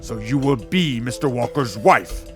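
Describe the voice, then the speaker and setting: A man's voice: medium pitch, expressive, a little slow, over music, lightly acted. An actor playing a cruel, domineering middle-aged father, stern and commanding, in an audio drama. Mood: furious, commanding.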